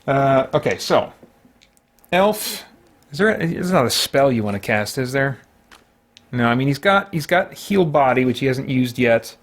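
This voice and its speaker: A man's voice: medium pitch, lively intonation, unhurried. A man hosting a solo tabletop game, talking casually and thinking aloud in a rambling way as he plays. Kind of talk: casual game play-through commentary.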